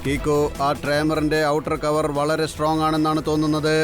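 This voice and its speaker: A man voicing a loyal, matter-of-fact talking car in a children's cartoon, monotone. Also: a little high, fairly quick, close to an everyday voice. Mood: matter-of-fact, concerned. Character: loyal talking superhero car, male sidekick. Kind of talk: dubbed children's cartoon acting. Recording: music behind the voice.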